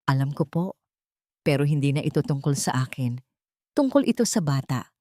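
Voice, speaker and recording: A woman's voice: sits low, very animated, moderate pace, mellow, no room sound, studio quality. A woman with a Filipino accent voicing a determined, wronged maid in an audiobook drama, earnest and resolute. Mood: pleading, determined.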